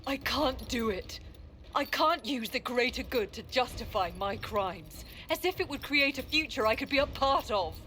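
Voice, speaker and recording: An actress playing a stoic, remorseful senior warrior in a video game, speaking gravely and formally. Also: female voice, on the high side, expressive, moderate pace, bright, forceful tone, slight background noise.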